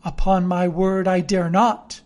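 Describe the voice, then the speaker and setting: A woman's voice: on the low side, level tone, measured pace, darkish tone, natural voice. A woman voicing a frightened, polite young boy in a storybook, earnest and deferential. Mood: frightened, pleading.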